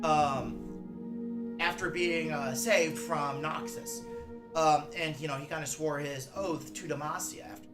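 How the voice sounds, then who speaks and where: A man giving casual reaction commentary on game lore, informal and halting as he searches for names. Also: fairly high pitch. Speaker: reactor, drag performer and cosplayer.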